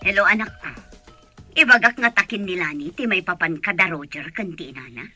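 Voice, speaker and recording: Woman acting a husky, questioning Filipino mother, music beneath. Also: lowish voice, animated, brisk, pushed and bright, heavily acted. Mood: confiding. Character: questioning middle-aged mother of a grown daughter. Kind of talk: Ilocano audio drama acting.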